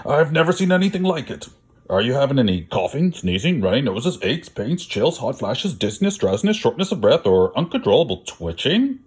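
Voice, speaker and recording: A man's voice: medium pitch, sing-song, a little fast, darkish tone, breathy edge, pitched above the performer's own voice. A man voicing an earnest, bumbling doctor from a children's storybook, exclaiming and rattling off lists. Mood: professional, puzzled.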